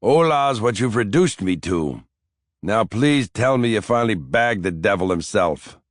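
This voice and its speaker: A man's voice: lowish voice, expressive, a little slow, no echo, clean studio sound, voice pushed up. A man voicing an elderly grandfather who is chatty, lilting and mischievous. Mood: wry. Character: elderly Irish grandfather, wry and teasing.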